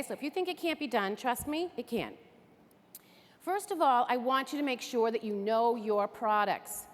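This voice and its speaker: A woman from the area, giving an upbeat, chatty training talk to an audience. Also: sits high. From Greater Boston area. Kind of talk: enthusiastic stage presentation. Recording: echoey hall.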